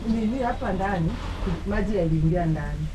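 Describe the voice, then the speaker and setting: A woman's voice: on the low side, a little fast, a crowd in the background, low-quality recording. A Kenyan woman who runs a small food shop, chatting casually and playfully in her outdoor kitchen. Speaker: shop owner and cook. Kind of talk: casual chat.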